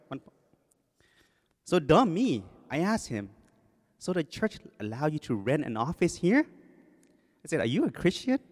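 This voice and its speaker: Thai-accented man preaching in a reverberant hall. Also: mid-range voice. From Thailand. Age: 40s. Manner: self-deprecating, joking, with many fillers. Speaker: guest preacher. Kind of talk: sermon introduction. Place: church sanctuary. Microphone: podium microphone. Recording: long reverb of a church hall.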